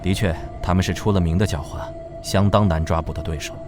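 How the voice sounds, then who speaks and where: A man voicing a composed, authoritative knight commander in an animated drama, firm and businesslike. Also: low voice, fast, over music, natural voice.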